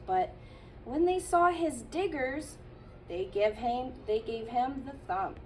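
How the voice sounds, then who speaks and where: Gentle, slow woman reading a storybook. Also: high-pitched, far from the mic, a little hiss or hum, poor audio. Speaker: childcare teacher reading aloud. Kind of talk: children's picture book read-aloud.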